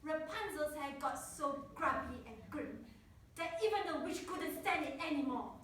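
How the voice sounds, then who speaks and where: A woman's voice: high-pitched, lively intonation, moderate pace, a bit bright. A woman narrating a scripted children's fairy tale. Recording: echoey room from far off, faint noise.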